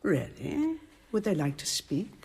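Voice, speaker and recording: An actress playing a shrewd, composed abbess in a radio drama, speaking with husky, measured authority and dry irony. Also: female voice, fairly low pitch, sing-song, a little mellow, full character voice. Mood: cool, persuasive. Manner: authoritative, measured, dryly ironic. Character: commanding, shrewd, composed convent abbess of mature years.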